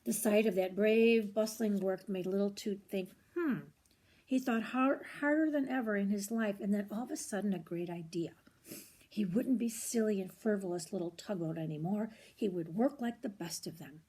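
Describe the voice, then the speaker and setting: An older woman reads a children's storybook aloud in an expressive, grandmotherly way, with short asides to the listener. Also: medium pitch, normal speed, slightly rough. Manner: expressive, grandmotherly, commenting on the pictures.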